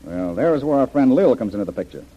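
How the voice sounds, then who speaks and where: An actor playing a confident, decisive adventure hero in an old-time radio drama, earnest and brisk. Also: male voice, medium pitch, big pitch swings, husky. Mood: confident, explanatory. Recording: dull, band-limited vintage radio recording.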